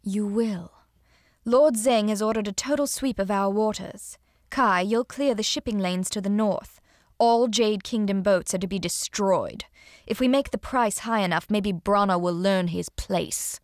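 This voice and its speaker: A woman plays a cool, composed pirate strategist briefing her captains in a game cutscene. Her voice sits at a medium pitch in a very dry recording. She is poised, controlled and authoritative. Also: unhurried, no echo, natural voice. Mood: composed, commanding. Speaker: video game character giving strategic briefings. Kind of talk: dramatic game cutscene acting.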